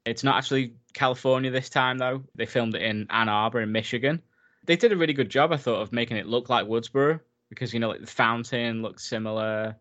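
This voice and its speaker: A man's voice: medium pitch, brisk, a bit bright, dull audio. A man in a casual film recap chat, enthusiastic and talkative, laughing often as he goes. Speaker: podcast co-host.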